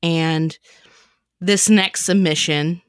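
A woman's voice: lowish voice, steady tone, extremely slow, clean tone, no echo, studio quality. A woman hosting a casual chat show and introducing comedy clips. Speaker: podcast host and sketch writer.

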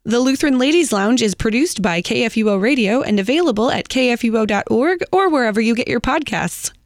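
A woman's voice: a little high, animated, brisk, dry recording, studio quality. A woman podcast host chatting casually and wrapping up the show in a playful, informal manner. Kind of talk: casual chat and show wrap-up.

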